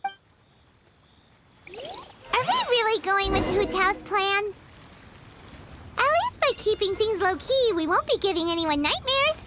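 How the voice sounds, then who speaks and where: A woman's voice: very animated, a little slow, pauses often, heavy background noise, heavily acted. A woman voicing a tiny, cheeky, childlike female fairy companion who talks about herself in the third person. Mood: chirpy. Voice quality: high squeaky childlike voice. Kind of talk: video game voice acting.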